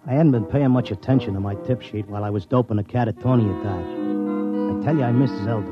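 An actor playing a stubborn, lovesick Broadway handicapper with a New York accent and slangy talk, in 1950s radio drama style. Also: male voice, on the low side, fairly quick, natural voice. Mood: wistful. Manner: slangy, colloquial tough-guy talk. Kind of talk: 1950s radio drama acting, first-person narration and dialogue. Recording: dull vintage radio recording, music behind.

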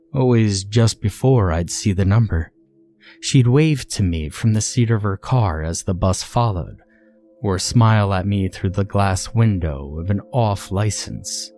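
A man reading a scripted first-person horror story in a measured, dramatic way with deliberate phrasing. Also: low-pitched, mellow.

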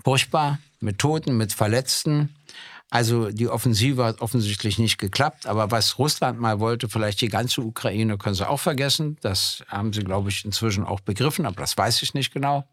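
A man's voice: on the low side, normal speed, a bit bright, no echo, clean studio sound. An older man, debating politics in a conversational way, explaining step by step with small hesitations. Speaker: podcast co-host, politician. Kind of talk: political discussion.

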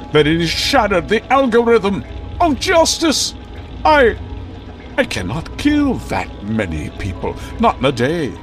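Grandiose, wildly swooping vigilante hero. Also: male voice, a little high, exaggerated voice. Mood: despairing. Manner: theatrical, grandiloquent, alliterative proclamations. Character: pompous costumed crime-fighting vigilante millionaire, grandiose and melodramatic. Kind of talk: 1940s radio serial acting.

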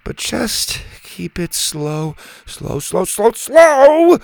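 A man's voice: a little high, expressive, takes it slowly, creaky, fairly bright tone, husky, no room sound, pitched above the performer's own voice, heavily acted. A man voicing a nervous boy afraid of heights and spiders, talking in an anxious, whiny way.

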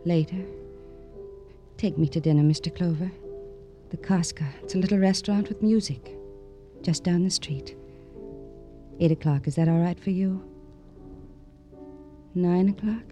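A woman's voice: fairly low pitch, unhurried, long pauses, warm tone, close to an everyday voice. A woman acting a lonely, intense young murder suspect with dramatic short phrases in 1950s radio melodrama. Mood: cool, evasive. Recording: old radio drama with music behind.

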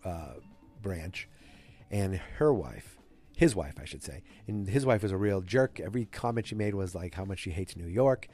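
Male podcast host, fighting a cold, dark and low, casual and digressive. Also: expressive, normal speed, faint music behind. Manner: rambling, digressive, self-correcting. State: has a cold. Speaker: podcast host recapping a TV episode. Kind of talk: casual solo recap.

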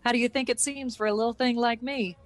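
A woman's voice: on the high side, steady tone, fast, close to an everyday voice. A woman playing a confident, petite truck designer in a scripted comedy radio drama, casual and folksy. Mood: cocky.

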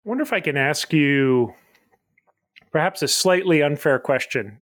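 Measured male podcast host. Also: mid-range voice, dry recording, studio quality. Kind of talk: scripted introduction, then thoughtful interview questions.

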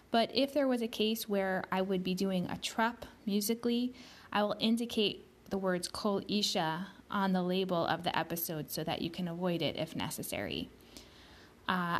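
A woman hosting her own podcast, speaking slowly and deliberately, pronouncing each word carefully, sometimes dropping to a soft whisper. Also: medium pitch. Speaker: podcast host. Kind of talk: slow, careful spoken podcast introduction.

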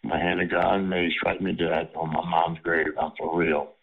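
Man, very deep, gravelly fry, husky, flat delivery, tinny phone sound. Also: normal speed.

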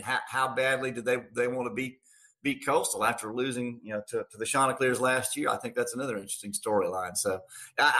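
Bright-voiced middle-aged man talking sports. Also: mid-range voice. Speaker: guest radio play-by-play announcer. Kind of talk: casual sports interview chat.

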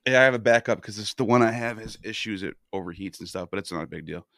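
A man hosting a live stream, reading out viewer comments and chatting in a relaxed way. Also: fairly low pitch, quick pace, no room sound, studio quality.

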